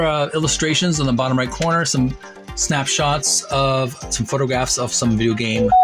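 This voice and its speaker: A man's voice: medium pitch, a bit bright. A man hosting a retro gaming channel, talking in a chatty, earnest, folksy way as he comments and reads passages aloud. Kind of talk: casual commentary with read-aloud book passages. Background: music.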